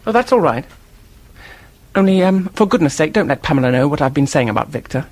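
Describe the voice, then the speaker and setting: An actor playing an earnest, defensive office worker in a radio mystery, husky-voiced, hesitant and blurting, with a temper underneath. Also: male voice, a little high, lively intonation, a little fast, dry recording. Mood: frank, earnest. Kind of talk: radio drama acting, detective mystery.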